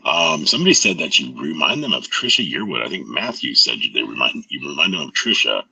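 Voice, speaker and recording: Very deep raspy male host. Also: big pitch swings, brisk, sharp tone, dry recording, rough recording. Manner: enthusiastic, hearty affirmations. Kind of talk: casual interview chat.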